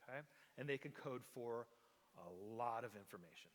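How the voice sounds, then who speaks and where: A man's voice: medium pitch, normal speed. A man preaching a teaching sermon, explaining step by step and often checking in with the congregation. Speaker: pastor. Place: church sanctuary. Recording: long hall reverb.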